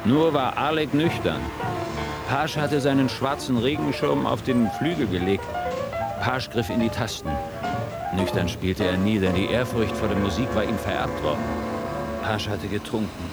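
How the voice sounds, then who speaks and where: A man's voice: on the low side, moderate pace, over loud music, natural voice. An older man, an actor playing an aging writer who reminisces in a wistful, ironic and literary way in a radio play. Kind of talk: radio play narration and dialogue.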